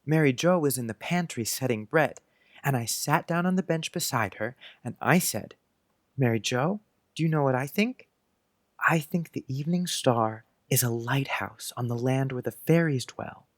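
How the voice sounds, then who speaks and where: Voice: mid-range voice, expressive, moderate pace, no room sound, clean studio sound, natural voice. An actor playing an earnest, precocious young schoolboy who chats dreamily in quaint grown-up phrases, in a dramatized audiobook. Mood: dreamy, confiding. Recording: music under the voice.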